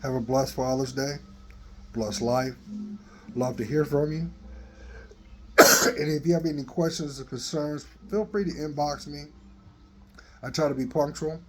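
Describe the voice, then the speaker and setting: A man in his fifties with a dry voice, giving a slow, earnest motivational talk into a phone in a garage. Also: a little high, steady tone, fairly bright tone, slight background noise. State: dry voice, coughing. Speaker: vlogger. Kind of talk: motivational selfie vlog. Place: open garage, daytime. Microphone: phone at arm's length.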